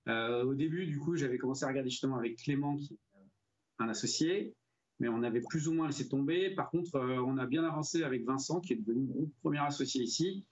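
Husky man on a muffled video call. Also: mid-range voice, fast talker. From Brittany, France. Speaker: brewery co-founder, interview guest. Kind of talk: casual interview chat. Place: indoor room, video call. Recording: dull, lo-fi video call audio.

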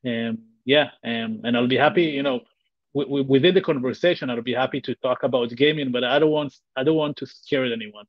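A man's voice: medium pitch, dry recording. A man in his thirties with an Israeli accent, a guest speaking earnestly over a video call, often restarting his sentences. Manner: earnest, halting with frequent restarts and fillers. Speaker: guest, tech company founder. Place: bright room at home. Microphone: video call webcam. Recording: slightly dull, dry video call audio.

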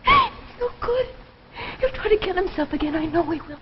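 Anguished, high-voiced young daughter. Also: female voice, huge pitch swings, moderate pace, background noise, full character voice. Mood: distraught. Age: 20s. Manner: pleading, breathless melodramatic delivery. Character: anguished, devoted young daughter of failing inventor. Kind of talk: 1950s live television drama acting. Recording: muffled old TV soundtrack, faint music.

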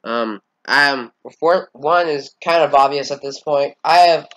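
A man's voice: fairly high pitch, a little slow, a bit bright, rough recording. A fourteen-year-old boy, congested, giving a rambling, hesitant channel update with casual swearing. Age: teens. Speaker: channel owner.

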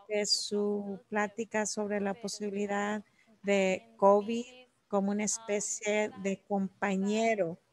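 A woman interpreter with a mid-range voice. She renders an academic talk live, phrase by phrase. The delivery halts and restarts as she follows along. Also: mid-range voice.

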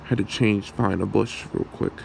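A man's voice: lowish voice, steady tone, gravelly, slight background noise. A man playing a shy, awkward young man smitten with his friend, speaking hesitantly in an ASMR roleplay. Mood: shy, friendly. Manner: hesitant, halting, soft-spoken.

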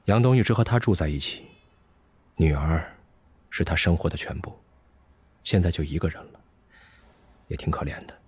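A man's voice: deep voice, lively intonation, moderate pace, pauses often, a little mellow, slightly rough, no echo, exaggerated voice. An actor playing a bitter, brilliant, hard-drinking physicist in an audio drama, sardonic and theatrical as he lectures. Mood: subdued, sorrowful.

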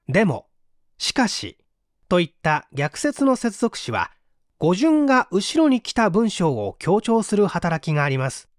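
A man reading a book aloud as a narrator, in a measured, explanatory manner. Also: sits high, dry recording, studio quality. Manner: measured, explanatory read-aloud with acted example lines. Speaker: audiobook narrator.

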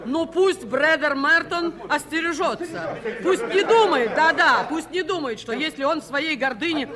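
An actor playing a fanatical spiritualist prophet of doom, declamatory and insistent, in an early 1980s radio play. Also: male voice, extremely high pitch, normal speed, a crowd in the background. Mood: ominous, exalted.

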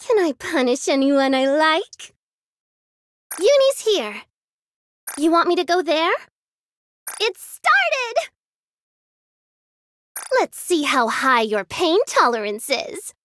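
A woman's voice: high voice, big pitch swings, slow pace, long pauses, fairly bright tone, dry recording, full character voice. An actress voicing a cute, playful young girl soldier with a sadistic streak in an anime-style mobile game, girlish and sweetly teasing. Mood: excited. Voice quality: girlish, cutesy.

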